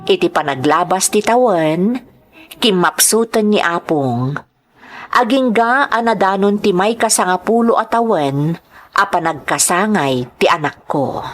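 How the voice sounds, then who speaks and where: An earnest, troubled young Ilocano woman in a radio drama, played by an actress. Her voice sits at an average pitch and she talks at a slow, measured pace, respectful and devoted. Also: expressive, natural voice. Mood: reflective.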